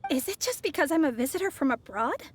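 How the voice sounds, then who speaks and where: An actress voicing a poised, mysterious woman from abroad in a video game, speaking in a smooth and teasing way. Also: sits high, expressive, fast, faint music behind. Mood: sly.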